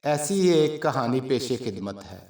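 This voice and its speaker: A man narrating a scripted story in a measured, formal storytelling manner. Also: medium pitch, lively intonation, a little mellow. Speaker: channel narrator. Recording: roomy echo, distant from mic.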